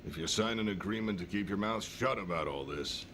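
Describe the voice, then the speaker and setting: A man's voice: low-pitched, brisk, noisy background, lightly acted. A man playing a cold, businesslike government official in a dramatic video game cutscene. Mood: cool, official.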